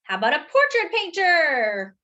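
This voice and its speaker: Woman voicing an excitable high-pitched little doughnut. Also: unhurried, dull audio, full character voice. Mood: hopeful pitching. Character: talkative little male doughnut, eager, dramatic, easily wounded.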